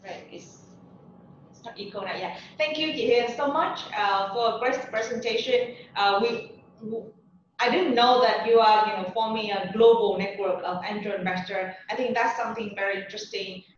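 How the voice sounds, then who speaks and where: A woman's voice: medium pitch, normal speed, slight background noise. A woman moderating an online seminar, introducing speakers hesitantly with many fillers. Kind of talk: webinar moderating. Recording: echoey, dull, distant online call audio.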